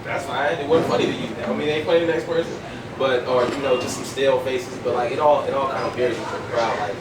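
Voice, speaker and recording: A man's voice: medium pitch, steady tone, quick pace. A 22-year-old American man from Chicago, a stand-up comedian chatting casually and jokingly in slangy language as an interview guest. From Chicago, United States. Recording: echoey, distant, very noisy public space.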